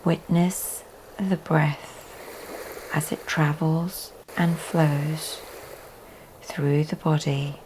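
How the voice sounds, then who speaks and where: A woman teaching yoga leads a guided relaxation meditation in a soft, often breathy delivery with long pauses. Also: low voice, fairly even intonation, low-quality recording. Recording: faint background noise.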